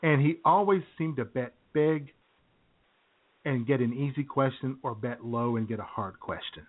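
A man's voice: medium pitch, normal speed. A middle-aged man, co-hosting a radio comedy show and telling rambling stories in casual banter. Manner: chatty, rambling storyteller with chuckles. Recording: tinny phone-line sound.

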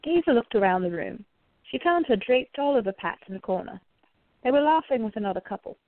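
A woman's voice: a little high, animated, brisk, no echo, narrow, tinny audio. A woman reading scripted narration for a radio play.

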